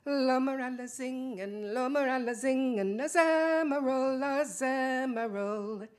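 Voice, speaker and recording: High, loud, drawn-out singing Yiddish ancestor by a woman. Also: soft background music. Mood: chanting. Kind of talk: Yiddish folk song in stage reading.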